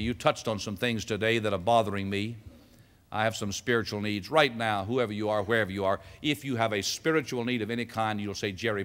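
A middle-aged man preaching a sermon from a church pulpit, swinging between loud exhortation and low, soft-spoken passages. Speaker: pastor. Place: large church sanctuary with choir behind. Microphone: stand mic at pulpit. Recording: long reverb of a large hall.

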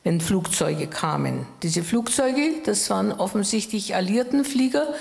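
Eine Frau um die achtzig aus Böhmen, die als Gast auf einer Bühne nachdenklich und mit Zögern aus ihrer Erinnerung erzählt, mit Handmikrofon. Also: female voice, medium pitch, animated, measured pace, gravelly, a little mellow. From Bohemia, Czech Republic. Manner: thoughtful, with hesitations and self-corrections. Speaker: interview guest. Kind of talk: personal memories in conversation. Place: stage in a wood-panelled hall. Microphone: handheld mic. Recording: echoey hall.

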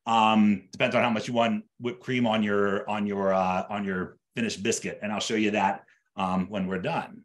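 A man's voice: lowish voice, level tone, moderate pace. Middle-aged man teaching cooking. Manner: explanatory, repeats and rephrases as they go. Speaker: chef teaching an online cooking class. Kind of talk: conversational live cooking demonstration. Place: home kitchen, indoors.

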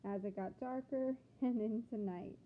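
A woman reading a picture book aloud to children like a teacher, with expressive, deliberate phrasing and questions to the listeners. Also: a little high. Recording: phone-like thin sound with background noise.

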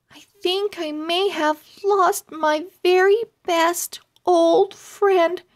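Storybook owl schoolgirl voiced by a woman: eager but easily embarrassed, hesitant, very high voice. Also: exaggerated voice. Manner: hesitant, earnest.

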